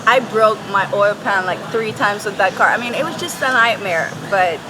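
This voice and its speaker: A woman's voice: medium pitch, normal speed. A woman in her thirties, a guest telling stories animatedly with frequent laughs on an outdoor patio. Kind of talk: casual storytelling. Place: outdoor restaurant patio under a thatched roof. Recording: outdoor patio with traffic noise. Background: street and traffic noise.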